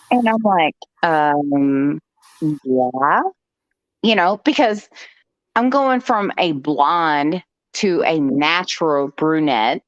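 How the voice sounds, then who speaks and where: A woman host spins a long personal story at an unhurried pace, sprinkling in "okay" and little jokes. Her voice sits a touch low, and it comes through dull, lo-fi stream audio that is dry and close. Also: dry recording. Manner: chatty, joking, frequent "okay" asides. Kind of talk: live-stream storytelling chat.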